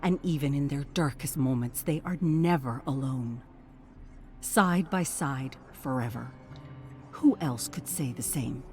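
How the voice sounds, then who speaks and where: A woman narrator giving a solemn, deliberate, dramatic reading of a scripted game story. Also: extremely low pitch, darkish tone. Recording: music behind the voice.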